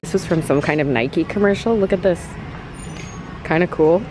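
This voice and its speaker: A woman's voice: on the low side, normal speed, music in the background, poor audio. A young woman vlogging casually about shopping, playful and exclamatory with theatrical asides, talking to a handheld camera. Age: young adult. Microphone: handheld camera at arm's length.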